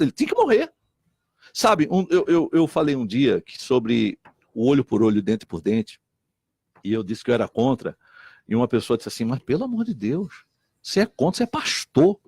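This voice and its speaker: A man's voice: on the high side, vocal fry, breathy edge, no echo. A middle-aged man from Recife, a state deputy and missionary pastor talking earnestly and repeating himself. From Recife, Brazil. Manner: earnest, repeats himself, quotes others in lively voices. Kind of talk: animated talk-show conversation.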